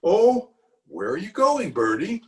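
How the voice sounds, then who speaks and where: A man's voice: sits high, wildly swooping pitch, a little slow, full character voice. A man playing a pompous, self-justifying middle-aged uncle in an old-time radio comedy, with a blustery, chuckling manner. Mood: coaxing. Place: recorded remotely over a video call.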